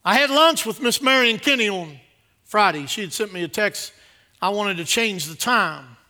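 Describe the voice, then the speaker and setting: A man preaching a sermon to a congregation, exhorting emphatically with repeated phrases and swinging between quieter asides and loud declarations. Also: sits high, lively intonation, normal speed.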